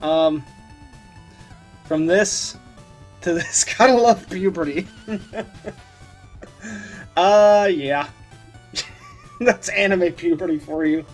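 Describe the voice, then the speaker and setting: A man's voice: sits high, extremely slow, pauses often, soft background music. A man hosting a casual reaction commentary, giggly and loosely profane in the way he talks. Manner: giggly, often laughing as he talks. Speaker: host of a meme review channel.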